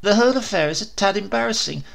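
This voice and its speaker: A man's voice: high voice, lively intonation, fast, vocal fry, fairly bright tone, pitched above the performer's own voice, exaggerated voice. A man doing a fussy, haughty talking dove. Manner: fussy, haughty and easily offended. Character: pompous prim male dove, a transformed prince.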